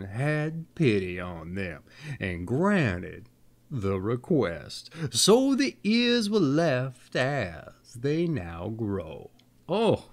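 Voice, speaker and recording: A man in his thirties tells old fairy tales in a theatrical, folksy way. His pitch leaps up and down dramatically, and he takes his time, stretching words for emphasis. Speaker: storyteller, show host. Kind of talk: expressive fairy-tale reading with folksy asides.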